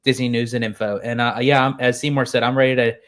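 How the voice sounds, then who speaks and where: A man's voice: mid-range voice, level tone, quick pace, fairly bright tone, clear, resonant tone. A man co-hosting in a casual, conversational style. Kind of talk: casual show introduction.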